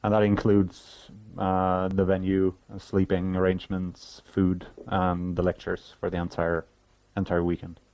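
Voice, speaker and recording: A man's voice: low-pitched, monotone, a little mellow, dry recording. A man with a Swedish accent, giving a hesitant, conversational phone interview as an event organizer. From Sweden. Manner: hesitant, halting. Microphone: telephone. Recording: muffled telephone line.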